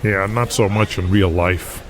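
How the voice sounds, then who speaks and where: An actor playing brash, excitable young pro wrestlers trading slangy, sweary banter in an audio drama. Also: male voice, low voice, a little slow, slight background noise, poor audio. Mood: hesitant, uneasy.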